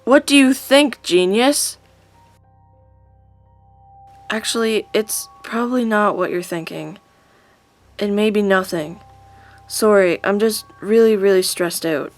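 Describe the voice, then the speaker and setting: An actress playing a sharp-tongued, imperious, scornful teenage girl in an audio drama, with a snappy, cutting delivery. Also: medium pitch, measured pace, no room sound, soft background music, natural voice. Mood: stressed.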